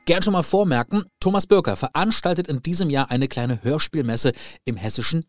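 A man's voice: a little high, brisk, faint music behind. A man hosting an interview podcast in a conversational style, effusive and given to emphatic repetition. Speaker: podcast host. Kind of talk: warm-toned conversational interview and sign-off. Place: indoor studio, in person with guest.

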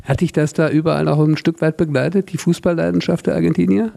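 A man's voice: on the high side, steady tone, fast talker, creaky, mellow. A man hosting a podcast, putting casual questions to his guest. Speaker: podcast host, interviewer.

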